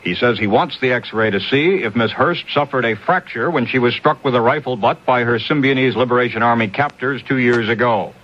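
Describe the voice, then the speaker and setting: A man's voice: mid-range voice, brisk, few pauses, fairly bright tone. 1970s radio newsman on muffled broadcast. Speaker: radio newscaster. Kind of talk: scripted 1970s radio news bulletin. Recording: dull lo-fi 1970s AM radio recording.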